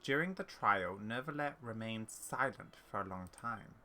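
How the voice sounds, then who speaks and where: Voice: mid-range voice, lively intonation, sharp tone. A gaming streamer giving casual playthrough commentary and reading game text aloud. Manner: halting when reading aloud. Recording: faint background noise.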